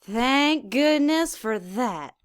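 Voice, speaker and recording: A woman's voice: high voice, lively intonation, extremely slow, clean tone, no echo, pitched above the performer's own voice, full character voice. Woman as a sardonic, exasperated male pony doctor. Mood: sarcastic exasperation. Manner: dry, exasperated, sarcastic.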